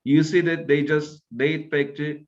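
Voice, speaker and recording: A man's voice: fairly high pitch, flat delivery, unhurried, muffled recording. A man from Turkey with a Turkish accent, giving an academic lecture and often checking in with "right?". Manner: explanatory, tags points with "right?".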